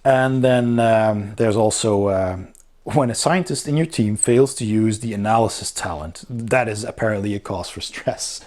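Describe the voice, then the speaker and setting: A man's voice: lowish voice, moderate pace, a little mellow. Casual man explaining a game rulebook. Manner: explanatory, informal. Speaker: board game reviewer. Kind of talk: casual spoken review, walking through a rulebook.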